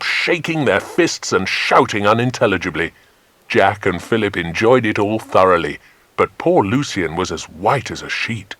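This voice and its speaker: A man's voice: on the low side, fairly bright tone, no echo. A British man narrating a dramatised children's adventure audiobook.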